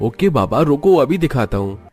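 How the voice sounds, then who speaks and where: Man acting an earnest young cartoon son, medium-pitched. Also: big pitch swings, normal speed, a little mellow, faint music behind, natural voice. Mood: agreeable. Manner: earnest, reassuring. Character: devoted, earnest young rich son in love.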